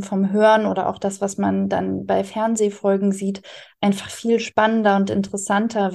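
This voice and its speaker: A woman's voice: medium pitch, vocal fry, dark tone. A woman, speaking in an interview as an author explaining her writing craft. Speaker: interviewed radio drama author.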